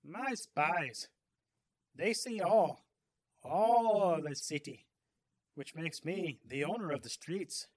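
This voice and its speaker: A man's voice: fairly high pitch, expressive, slow pace, pauses often. A man voicing a smug, theatrical crime boss villain with a grandiose, taunting manner in an audiobook.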